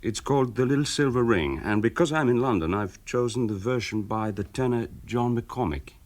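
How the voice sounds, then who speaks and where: An actor playing a modest German author and family man, gentle and a little hesitant, in a radio interview. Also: mid-range voice, normal speed, slight background noise, natural voice. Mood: nostalgic, modest. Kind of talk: radio interview within a drama.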